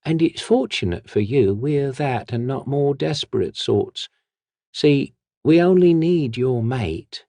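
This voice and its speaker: A man's voice: mid-range voice, lively intonation, slow pace, mellow, no room sound, voice pushed up. A man voicing a menacing female body snatcher who speaks in a rattling, hissing whisper.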